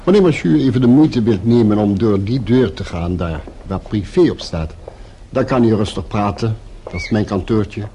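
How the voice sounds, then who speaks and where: An actor playing a courteous, insinuating French hotel porter in a radio play, formal and discreetly venal. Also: male voice, fairly low pitch, moderate pace, warm tone, natural voice. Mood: obliging. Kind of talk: radio drama acting. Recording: noisy old radio play recording.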